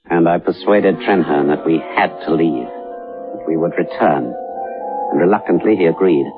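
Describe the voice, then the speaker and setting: An actor playing a sober seafaring man in a radio drama, grave and measured as he tells a haunted tale. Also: low voice, lightly acted. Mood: grave narration. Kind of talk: radio drama narration and dialogue. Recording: dull radio recording, music behind.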